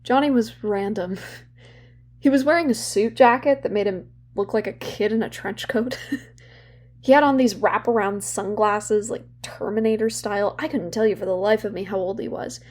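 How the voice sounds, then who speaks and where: A young woman playing a guilt-ridden, wry and bitter therapy patient in an audio drama, speaking candidly and haltingly. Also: mid-range voice, a little mellow, lightly acted. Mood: wry, sardonic. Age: young adult. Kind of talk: naturalistic audio drama dialogue.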